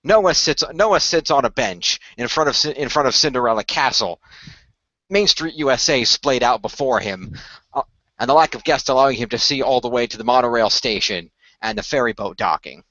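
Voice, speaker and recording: A man reads scripted narration for a radio play, with frequent stumbles and restarts. His voice is medium in pitch, on a dry, slightly dull home recording. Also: expressive, moderate pace, pushed and bright, no echo. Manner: stumbles and restarts while reading.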